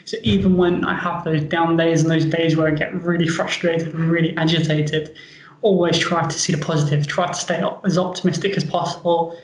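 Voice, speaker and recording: A man's voice: fairly high pitch, little pitch movement, a little fast, roomy sound, slight background noise, rough recording. A man with a London accent, chatting upbeat as an interview guest. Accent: London English. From England. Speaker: guest, medical device founder. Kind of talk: casual interview talk.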